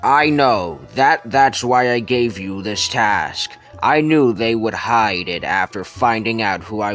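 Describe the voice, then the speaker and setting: A man's voice: on the low side, fairly bright tone. A man voicing a power-hungry, threatening villain boss with a commanding manner in an amateur cartoon dub. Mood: menacing.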